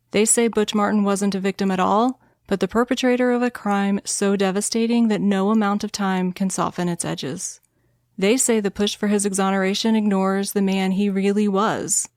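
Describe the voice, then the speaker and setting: A woman hosting a true crime podcast, reading scripted narration in a soothing, measured way. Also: mid-range voice, darkish tone, dry recording, studio quality. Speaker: podcast host.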